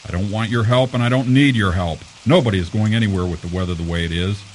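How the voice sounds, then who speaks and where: A man playing a gruff, low-voiced police commissioner. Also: fast talker, noisy background, lightly acted. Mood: businesslike, grumpy. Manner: brusque, sarcastic, impatient. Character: gruff, irritable male police commissioner, blustering and dismissive. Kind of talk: audio mystery drama acting.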